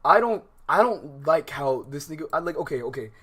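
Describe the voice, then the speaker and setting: A man's voice: fairly high pitch, lively intonation, brisk, a bit bright, breathy edge. Slangy, exasperated male game streamer. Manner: slangy, profane, exasperated asides. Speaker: livestreamer. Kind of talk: casual game livestream commentary, reading dialogue aloud. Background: guitar game music.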